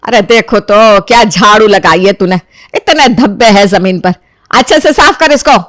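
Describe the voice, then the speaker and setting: A woman voicing a quick-tempered, domineering older mother-in-law in a story, nagging and accusing with sharp questions. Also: mid-range voice, no room sound, studio quality, lightly acted. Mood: nagging, irritated.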